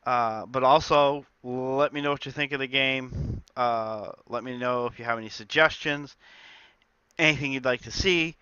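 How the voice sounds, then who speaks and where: Bright-voiced man with a stuffy cold. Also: mid-range voice, steady tone, moderate pace, smooth, dry recording, dull audio. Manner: chatty, with many uh fillers. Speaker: indie game developer. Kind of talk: casual dev diary talk.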